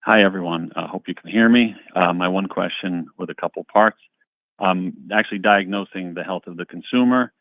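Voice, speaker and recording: A man calling in as a financial analyst, asking questions in a halting, conversational way. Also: deep voice, dry recording. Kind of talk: spontaneous question on a business call. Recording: dry, narrow phone line.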